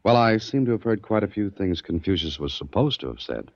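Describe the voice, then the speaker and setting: An actor playing a wry, inquisitive adventurer who also narrates, conversationally, in a 1940s radio drama. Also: male voice, low voice, big pitch swings, fairly quick, no room sound, full character voice. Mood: curious, amused. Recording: dull old 1940s radio recording.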